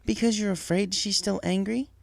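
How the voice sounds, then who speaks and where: A woman's voice: medium pitch, steady tone, moderate pace, vocal fry. A woman playing an impatient wife and mother pony, speaking pointedly in a dramatic story reading. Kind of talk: dramatic fan fiction audio reading.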